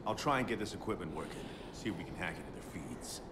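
Dry, bossy male ally, low register, animated, noisy vehicle and music backdrop. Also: fast. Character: gruff, protective male survivor ally named Dougie. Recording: loud vehicle noise and music behind.

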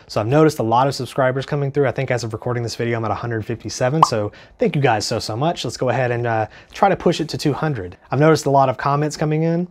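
A man's voice: mid-range voice, quick pace, few pauses, slight background noise. A young man in his twenties with a stuffy, congested voice from a cold, chatting casually and earnestly as he reviews gear in his small home studio, with lots of ums. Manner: chatty, filler-heavy, earnest.

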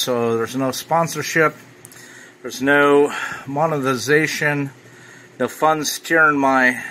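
A man's voice: mid-range voice, pushed and bright, a little hiss or hum. A man giving casual, off-the-cuff unboxing commentary as a product reviewer. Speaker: ham radio reviewer.

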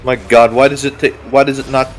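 A man chatting and exclaiming excitedly while playing a co-op game with friends. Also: mid-range voice, fairly quick, a little hiss or hum. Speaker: channel host. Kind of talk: casual co-op gaming chat.

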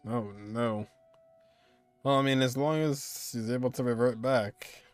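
A man's voice: mid-range voice, steady tone, unhurried, smooth. Casual young man reacting over game music. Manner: casual, slangy, exclamatory. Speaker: gaming streamer. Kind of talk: live game reaction commentary. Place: indoor room, on webcam.

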